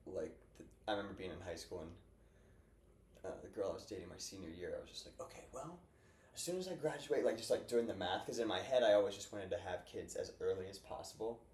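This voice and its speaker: A man's voice: deep voice, lively intonation, fast talker, darkish tone. A young man in his twenties hosting a casual podcast chat, rambling and upbeat, full of fillers. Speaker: podcast host. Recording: echoey room with faint noise.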